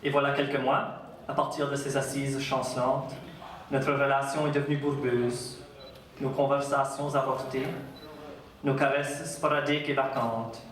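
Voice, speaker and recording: An Acadian man, an author, lecturing and reading his own work aloud in a measured, unhurried voice. His voice sits mid-range. It is picked up from a distance in a big, heavily echoing hall with a faint hum in the background. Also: medium pitch, little pitch movement, a bit bright. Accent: Acadian French. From Acadia, Canada. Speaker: author giving a public talk. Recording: very echoey hall, distant mic.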